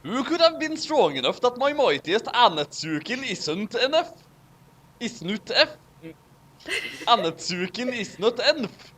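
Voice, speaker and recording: A man's voice: very high voice, big pitch swings, measured pace, a bit bright. A man co-hosting a dramatic fanfic reading, theatrical and over-acted, with casual chat in between.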